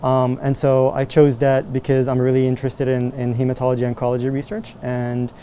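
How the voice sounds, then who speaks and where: A man's voice: mid-range voice, monotone, fairly quick, warm tone, slight background noise. A young man from Morocco, a medical student doing research, answering questions in a hesitant, casual way with many fillers.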